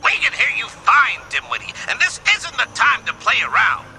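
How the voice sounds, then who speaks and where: Wise old cartoon leader, authoritative, raspy, high-pitched, very animated delivery. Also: male voice, moderate pace, noisy background, exaggerated voice. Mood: urgent, exasperated. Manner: authoritative, instructing. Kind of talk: cartoon video game acting.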